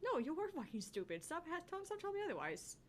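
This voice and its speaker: Animated, sardonic woman giving blunt commentary. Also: extremely high pitch, fast talker, slight background noise. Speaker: gaming channel co-host. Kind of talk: casual reaction commentary.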